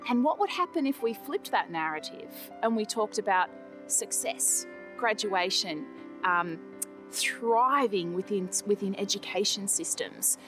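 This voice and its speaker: A young Australian woman speaking earnestly in a measured, reflective interview, with occasional fillers and restarts. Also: medium pitch, expressive, music in the background. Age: young adult.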